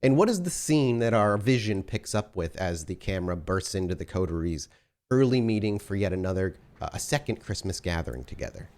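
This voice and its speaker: Low-voiced man narrating a tabletop game. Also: big pitch swings, brisk, a little mellow. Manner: descriptive, asks players questions with many fillers. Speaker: storyteller.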